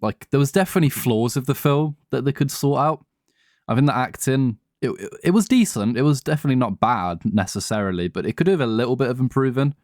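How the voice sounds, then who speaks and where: A man's voice: mid-range voice, animated, quick pace, a little mellow, dry recording, clean studio sound. A man co-hosting a podcast in casual, slangy, sweary conversation about films. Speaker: podcast co-host. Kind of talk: casual chat, film discussion.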